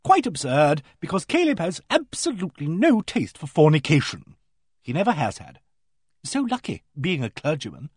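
A man's voice: fairly high pitch, wildly swooping pitch, normal speed, husky, dry recording, studio quality, pitched above the performer's own voice, full character voice. A man voicing an eccentric, forthright vicar's wife in a storybook, with an abrupt, emphatic and earnest yet vague manner. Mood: blithe, vague. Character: eccentric, forthright, absent-minded vicar's wife of uncanny knowledge.

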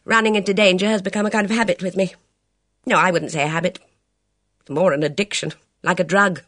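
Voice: mid-range voice, steady tone, dry recording, studio quality, voice pushed up, lightly acted. A reader voicing a bold, reckless middle-aged woman who speaks sharply and commandingly. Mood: imperious. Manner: brisk, imperious, sharp.

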